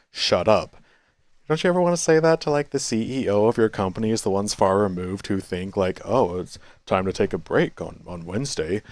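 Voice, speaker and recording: Low-voiced man musing to himself. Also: a little mellow, dry recording. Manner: thinking aloud, many restarts and self-corrections; quits smoking, chews sunflower seeds. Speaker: vlogger. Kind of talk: rambling reflective monologue. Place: cafe.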